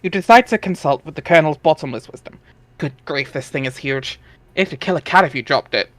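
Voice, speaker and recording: Higher-pitched man narrating, dry close sound. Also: brisk, fairly bright tone, no echo. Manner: wry, informal. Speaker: narrator of a webcomic reading. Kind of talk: dramatic narration with casual asides.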